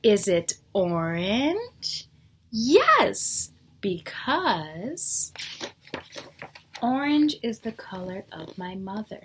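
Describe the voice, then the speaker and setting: A woman's voice: mid-range voice, very animated, drawn-out words, pauses often, background noise. A woman teacher reading a picture book aloud to young children, explaining things simply and asking them questions.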